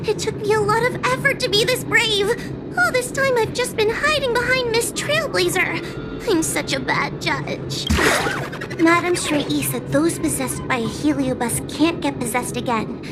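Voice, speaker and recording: A woman's voice: expressive, unhurried, loud music behind the voice. A woman voicing a timid, easily scared young girl judge in an anime-style game, anxious and pleading but earnest. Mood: flustered, self-doubting. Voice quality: very high, girlish.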